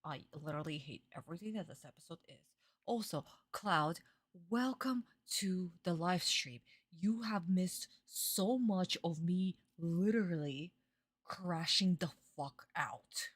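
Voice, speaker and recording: Young woman streamer, sarcastic, exasperated, lower voice, dry close sound. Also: a little slow, no room sound. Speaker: streamer reacting to a cartoon. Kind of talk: live-stream reaction chat.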